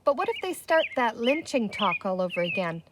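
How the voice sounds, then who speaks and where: A woman's voice: on the high side, very animated, a little fast, background noise. A woman voicing a cautious, thoughtful young girl in a storybook, speaking in a pondering, hesitant way. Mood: worried.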